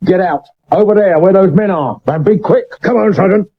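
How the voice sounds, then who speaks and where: Brusque police inspector. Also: male voice, sits high, moderate pace. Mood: terse, commanding. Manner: terse, commanding, gruff. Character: brusque, dogged male Victorian police inspector. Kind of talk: period radio drama acting. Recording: muffled 1970s medium wave radio recording.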